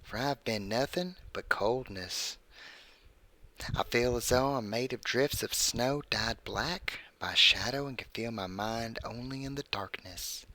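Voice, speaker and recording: The cold, lonely spirit of a horror-story podcast, solemn and brooding in a bright, close voice, read by a man, haunting dark hallways at night. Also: fairly low pitch, dry recording, exaggerated voice. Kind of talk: first-person creepy story reading.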